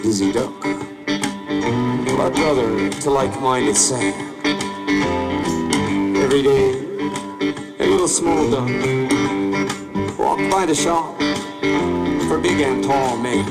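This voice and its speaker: Slow-talking man reciting over a live band. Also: medium pitch, poor audio. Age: middle-aged. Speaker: poet. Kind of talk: spoken-word poetry performance. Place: outdoor stage by a cliff. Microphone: handheld mic held close. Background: live band with electric guitar.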